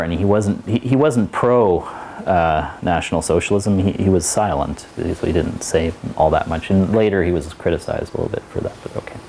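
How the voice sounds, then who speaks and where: Male lecturer, very low voice, digressive and restarting often; faint hiss behind him. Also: mellow. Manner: digressive, many restarts and asides. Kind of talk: university lecture. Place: lecture hall.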